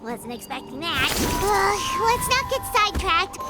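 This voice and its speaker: A woman voicing a small, childlike, excitable fairy companion in an anime-style video game, cutesy and chatty. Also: very high voice, measured pace, full character voice. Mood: thoughtful. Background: game music.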